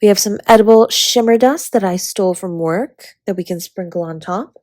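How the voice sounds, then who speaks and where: A woman playing a flirty, affectionate dragon baker spouse in a romantic roleplay audio, with a breathy, husky, teasing delivery. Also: medium pitch, normal speed, darkish tone, no room sound, studio quality, close to an everyday voice. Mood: playful, instructive. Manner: teasing, intimate, often slipping into breathy whispers.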